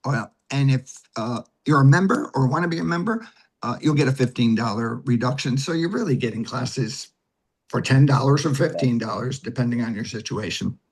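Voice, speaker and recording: A man with a husky voice giving conversational interview answers, explaining things step by step. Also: mid-range voice, lively intonation, moderate pace, low-quality recording. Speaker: guest, theater director and producer.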